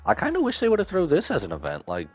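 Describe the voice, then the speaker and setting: A man's voice: on the high side, fast, slightly rough, muffled recording. A man live-streaming a video game, reacting casually as he plays and reading bits of its text aloud. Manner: animated, casual. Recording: faint game music behind.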